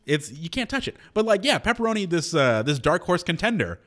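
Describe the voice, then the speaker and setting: A man hosting a radio show in casual, joking banter, his voice hoarse from a lingering cold. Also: on the high side, a little fast. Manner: wry, joking, lively. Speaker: radio host. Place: radio studio.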